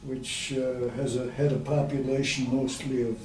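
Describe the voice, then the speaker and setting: A man, recalling his life in an oral history interview, slow and hesitant with many pauses. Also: medium pitch, level tone, a little mellow. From Austria. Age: 90s. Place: room at a community center. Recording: echoey room, distant mic, faint hiss.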